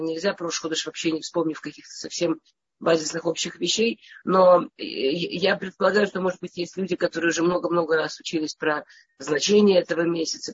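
A woman's voice: fairly low pitch, fairly even intonation, a little fast, slightly rough, muffled recording. A woman with a cold giving an informal religious lesson, often stammering and restarting as she talks. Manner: hesitant, with frequent stammers and restarts. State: has a cold. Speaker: teacher.

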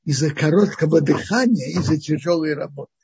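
Guy giving a torah class, raspy gravelly kinda dark voice, stops and restarts a lot, dull lo-fi audio. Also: fairly high pitch. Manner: halting, thinking aloud, restarting phrases. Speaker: Torah teacher. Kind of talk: weekly Torah portion lesson.

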